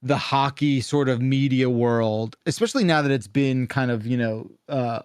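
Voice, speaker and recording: A man's voice: mid-range voice, normal speed, clear, resonant tone, dry recording, clean studio sound. A man hosting a casual call-in podcast, talking in a rambling, emphatic way with some swearing. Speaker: podcast host.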